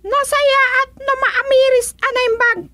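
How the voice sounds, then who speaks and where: A woman playing a vengeful, gloating termite queen in a comedic radio drama, with a shrill, theatrical, drawn-out delivery. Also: level tone, bright, forceful tone, dry recording, full character voice. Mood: stern, regal.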